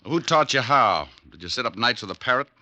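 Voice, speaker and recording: A man's voice: mid-range voice, animated, fairly quick, sharp tone, no echo, muffled recording. A man playing a hard-boiled private detective on an old radio show, narrating with dry, sardonic wisecracks. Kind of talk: 1940s radio acting with narration. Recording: old radio broadcast with music.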